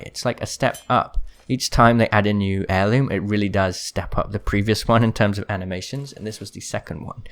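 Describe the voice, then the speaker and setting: Low-voiced man doing gameplay commentary. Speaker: gaming video creator. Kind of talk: casual explanatory gameplay commentary.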